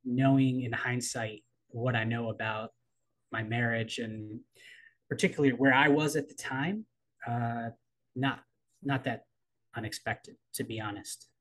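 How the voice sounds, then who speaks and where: Interview guest, male, 30s, from the US East Coast. Thoughtful, candid, measured delivery. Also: mid-range voice, low-quality recording. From East Coast of the United States. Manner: thoughtful, measured, with frequent ums and trailing pauses. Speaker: guest, coach and writer. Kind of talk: reflective personal interview.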